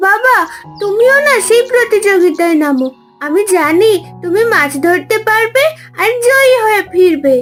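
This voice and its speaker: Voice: very high voice, expressive, moderate pace, fairly bright tone, soft background music. A performer voicing an eager, childlike little village girl, an admiring daughter in a cartoon. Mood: eager, coaxing. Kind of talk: Bengali cartoon story acting.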